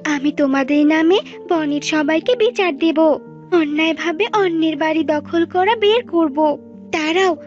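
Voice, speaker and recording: A woman's voice: very high-pitched, voice pushed up. A woman voicing a small young female cartoon bird, plaintive and earnest, tearful and easily wronged. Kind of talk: Bengali cartoon bird-fable acting. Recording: dull lo-fi audio with music.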